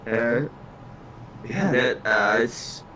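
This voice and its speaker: A man of average pitch chats casually as a livestream co-host. He speaks haltingly, circling back and repeating words before finishing a thought. There is light noise in the recording. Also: lively intonation, pushed and bright, rough recording. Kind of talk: casual chat. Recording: faint background noise.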